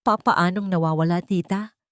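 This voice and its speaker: A woman's voice: fairly low pitch, fairly even intonation, normal speed, fairly bright tone, dry recording, lightly acted. Woman playing young heroine, shaken, polite, hesitant, anxious, radio-style story, dry sound. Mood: shocked, trembling. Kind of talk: dramatic audio story dialogue.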